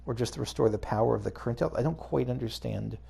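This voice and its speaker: A man's voice: mid-range voice, quick pace, vocal fry, mellow, breathy edge. A man giving casual video game playthrough commentary, hesitantly thinking aloud as he goes. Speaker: gaming video host.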